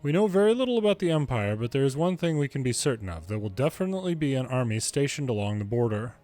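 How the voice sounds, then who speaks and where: A man's voice: medium pitch, big pitch swings, fast talker, mellow, soft background music. A man reading video game story dialogue aloud in English, lightly acting out the different characters. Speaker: fan reader of game dialogue.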